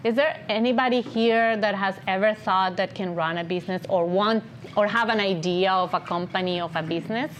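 A woman's voice: medium pitch, fairly quick, slight background noise. A woman with a non-native English accent giving an encouraging, conversational business talk and often checking in with "right?". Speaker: guest speaker, agency founder and CEO.

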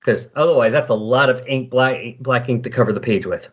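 A man with a slightly husky voice in casual, rambling, enthusiastic conversation as a podcast host. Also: mid-range voice, fairly quick. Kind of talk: casual chat about comic books. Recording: dull, lo-fi recording.

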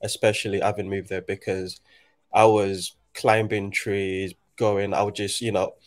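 A man in his late twenties, raised in Nigeria, speaks in a fairly low voice. He talks thoughtfully and haltingly, restarting his sentences as he works through his ideas in a relaxed conversation. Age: 20s. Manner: reflective, halting, many restarts. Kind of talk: casual conversation.

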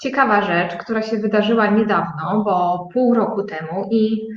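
A woman guest telling her company's story in a conversational interview, speaking haltingly with drawn-out hesitations. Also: mid-range voice. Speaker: guest, start-up co-owner. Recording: dull, roomy sound.

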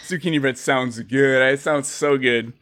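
A man hosting a casual interview, goofy and animated, sometimes tripping over his words. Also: mid-range voice, moderate pace. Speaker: interviewer, podcast host.

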